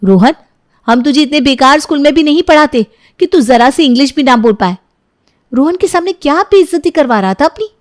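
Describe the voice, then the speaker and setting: A nagging young mother, acted out by a woman storyteller, discontented and envious. Her voice is fairly high, and she scolds and complains in a moral-story style. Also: lively intonation, a little fast. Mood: scolding.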